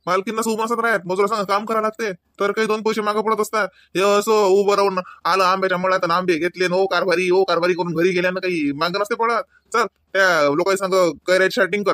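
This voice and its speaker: कार्टूनमधील चटपटीत, चिडवणाऱ्या तरुण गावाकडच्या शेतकरी नवऱ्याला आवाज देणारा पुरुष, वऱ्हाडी बोलीत. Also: male voice, high voice, fairly bright tone, dry recording, studio quality. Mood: brisk, bossy. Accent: rural Maharashtra, Varhadi Marathi. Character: brisk, teasing young village farmer husband. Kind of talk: comedy cartoon dialogue.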